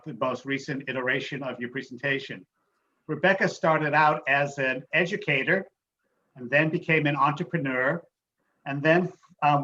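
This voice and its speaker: A man's voice: a little high, sharp tone. A man acting as moderator, introducing a guest speaker at an online event. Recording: dull, noisy online call audio.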